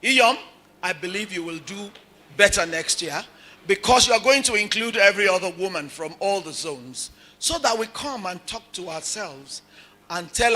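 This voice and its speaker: Bright-voiced woman rallying an audience. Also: medium pitch. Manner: rallying, declarative. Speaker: conference speaker. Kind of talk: exhortative speech.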